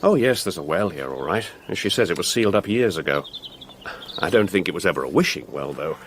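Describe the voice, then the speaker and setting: An actor playing an aloof, exacting artist in a radio drama, curt and offhand. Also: male voice, sits low, expressive, fairly quick, slight background noise. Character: aloof, exacting male artist, coolly self-absorbed.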